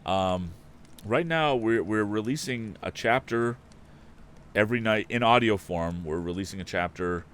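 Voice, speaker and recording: A man's voice: lowish voice, unhurried, fairly bright tone. A man hosting a story stream, reading a book expressively and chatting casually between passages. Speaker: storytelling stream host, reader. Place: indoor live stream.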